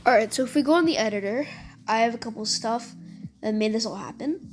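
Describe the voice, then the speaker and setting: A woman with a fairly high voice talks casually through gameplay like a video creator. Her phrasing is informal and full of gamer slang. Music plays faintly behind her. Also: fast. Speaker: video creator and game level maker. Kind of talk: casual gameplay commentary and walkthrough. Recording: music under the voice.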